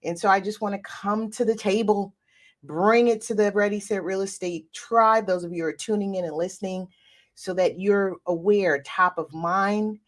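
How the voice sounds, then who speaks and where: A woman's voice: medium pitch, moderate pace. A woman hosting a livestreamed real estate show, congested and nasal from a cold, upbeat and repeating phrases as she talks straight to her audience on a desk mic. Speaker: real estate podcast host. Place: home office with a computer. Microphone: desk microphone.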